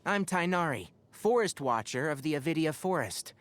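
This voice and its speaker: Lively, scholarly young fox-eared forest ranger. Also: male voice, a little high, normal speed, slight background noise. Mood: calm, instructive. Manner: articulate, instructive, gently wry. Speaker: video game voice actor. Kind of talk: scripted game character voice lines.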